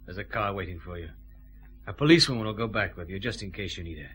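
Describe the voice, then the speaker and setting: An actor playing a calm, authoritative detective chief inspector with a Scottish accent in a radio drama. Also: male voice, deep voice, fast talker, breathy edge, full character voice. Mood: grave, gentle.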